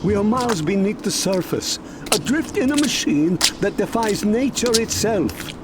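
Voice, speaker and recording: An actor playing an anxious, exasperated servant trapped on a submarine, agitated and pleading, in an audio drama. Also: male voice, high voice, lively intonation, normal speed, heavy background noise. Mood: alarmed. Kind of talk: cinematic audio drama acting.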